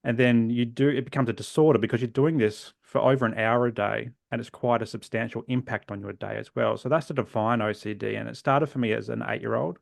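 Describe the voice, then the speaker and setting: An Australian man in his forties, a guest in a podcast interview, telling his own story earnestly and reflectively, often trailing off softly at the ends of phrases. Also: medium pitch, fairly even intonation, quick pace, gravelly, few pauses, no echo, studio quality. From South Australia. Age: 40s.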